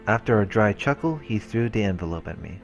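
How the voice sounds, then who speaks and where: A man with a very low voice reads a visual novel aloud for a let's play, slipping between narration and offhand comments. Faint music plays behind him. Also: expressive, brisk, gravelly, lo-fi sound. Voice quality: low-pitched. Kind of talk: read-aloud narration with casual asides. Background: game music.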